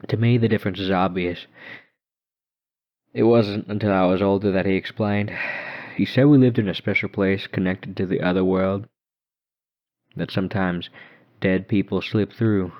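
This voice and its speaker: A man's voice: low-pitched, steady tone, normal speed, pauses often. A man narrating a horror story dramatically in the first person. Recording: dull muffled lo-fi recording.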